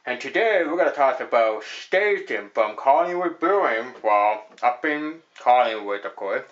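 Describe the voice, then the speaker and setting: A man's voice: medium pitch, lively intonation, measured pace, a bit bright, highs cut off. Rambling man reviewing beer. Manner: rambling, repeats "actually", lots of fillers. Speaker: host of a beer review show. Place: small room indoors at a table.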